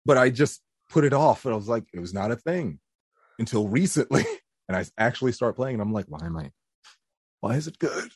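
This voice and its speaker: A man's voice: mid-range voice, sing-song, a little fast, slightly rough, no room sound, clean studio sound. A young man, a voice actor, chatting casually in an interview and laughing often. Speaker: voice actor guest.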